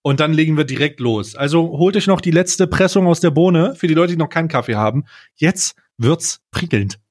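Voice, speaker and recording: A man's voice: on the high side, expressive, a little fast, darkish tone. A man co-hosting a casual comedy podcast in a wordy, mock-dramatic way. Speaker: podcast co-host.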